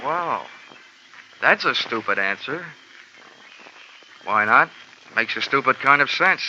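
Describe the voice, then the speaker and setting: An actor playing a wisecracking, tough insurance investigator who also narrates, in a vintage radio drama on a dull old recording. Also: male voice, medium pitch, expressive, takes it slowly, heavy background noise. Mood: wry. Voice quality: dry, sardonic wisecracks. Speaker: narrator and lead. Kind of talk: 1950s radio drama acting.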